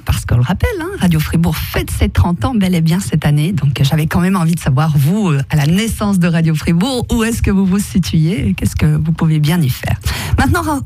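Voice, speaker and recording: Female radio host, upbeat and encouraging, mellow, dry studio. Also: lowish voice, breathy edge, no echo. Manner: upbeat, encouraging, quick reactions. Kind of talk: radio interview hosting. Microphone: studio microphone.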